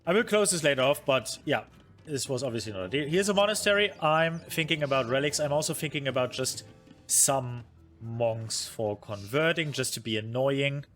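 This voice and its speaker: A man's voice: mid-range voice, lively intonation, moderate pace, a bit bright, soft background music. A man streaming a review of his own strategy game match, thinking aloud with many fillers, being hard on himself and answering his chat. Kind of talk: casual game commentary.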